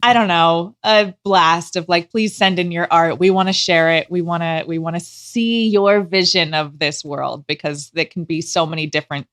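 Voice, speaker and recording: A woman's voice: fairly low pitch, fairly even intonation, moderate pace, hardly any pauses, a bit bright, dry recording, studio quality. A woman talking casually as an interview guest, in an eager, conversational way. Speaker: podcast guest, audio drama maker.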